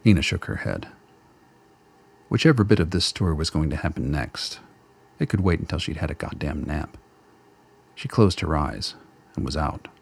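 A man reading the narration of a radio play in a measured, wry storytelling manner. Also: rumbling low, very animated, gravelly, husky. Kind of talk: scripted narration.

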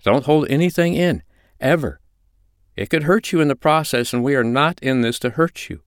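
A man's voice: fairly high pitch, normal speed, no room sound, clean studio sound, voice pushed up, natural voice. A man voicing a firm, commanding but reassuring mistress in an audiobook story. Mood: calm, reassuring. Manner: firm, instructing, soothing. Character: commanding, reassuring adult woman, a dominatrix mistress.

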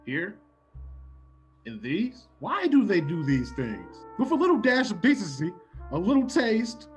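An actor playing a forward, blunt suitor in a classic radio play, theatrical and sometimes stammering. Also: male voice, high voice, wildly swooping pitch, unhurried, exaggerated voice. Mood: brusque. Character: brusque, forward male suitor courting a young woman. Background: music behind the voice.

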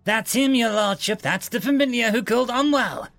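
A man's voice: on the high side, moderate pace, no room sound, faint music behind. A man voicing a bitter younger noble underling, indignant yet deferential, in a fantasy audiobook. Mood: accusing. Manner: indignant, deferential to his lord.

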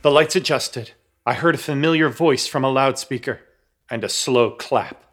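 A man's voice: medium pitch, moderate pace, fairly bright tone, soft background music. A man narrating and starring in a horror comedy radio play, with theatrical, urgent storytelling. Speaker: narrator and protagonist.

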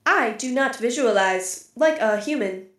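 A woman playing a literal-minded female AI archive computer in an audio drama, speaking in a flat, formal, deliberate way. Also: a little high, echoey room. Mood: literal, dry. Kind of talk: scripted audio drama acting.

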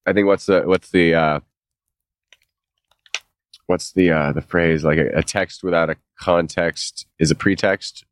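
Low-voiced man with a stuffy cold. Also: fairly even intonation, a little slow, pauses often. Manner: laid-back, rambling, swears casually, laughs often. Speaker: podcast co-host. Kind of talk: casual chat.

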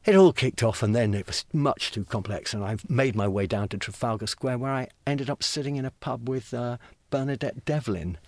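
A man, telling lively anecdotes with frequent stammered restarts. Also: mid-range voice, moderate pace, hardly any pauses, no echo. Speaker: guest telling stories. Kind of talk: animated anecdote, acting out voices.

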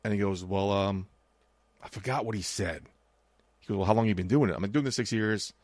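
A man in his late thirties talks fast and casually on a podcast, swearing as he tells stories. His voice sits a little low and sounds dry, with no room around it. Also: a little mellow, no echo. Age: 30s. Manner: swears freely, tells anecdotes with quoted dialogue. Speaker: podcast co-host. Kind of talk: casual chat.

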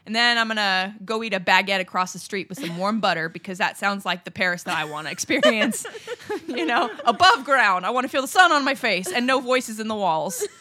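A woman's voice: medium pitch, a little fast, no room sound. A young woman co-hosting a podcast, casually telling a creepy story with jokey, profane asides. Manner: animated, swearing, jokey asides. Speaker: podcast co-host.

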